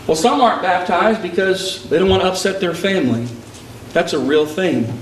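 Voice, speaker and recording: Male preacher from Texas, higher voice, emphatic sermon delivery, roomy echo, distant mic. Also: normal speed, slight background noise. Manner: emphatic, rising preaching cadence. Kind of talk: sermon with personal storytelling. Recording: echoey hall picked up from a distance.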